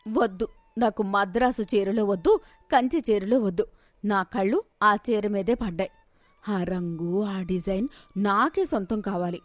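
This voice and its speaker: A woman's voice: medium pitch, unhurried, no room sound, soft background music, close to an everyday voice. A woman voicing a proud, jealous, stubborn housewife who scolds and demands in a storybook tale. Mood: indignant, demanding.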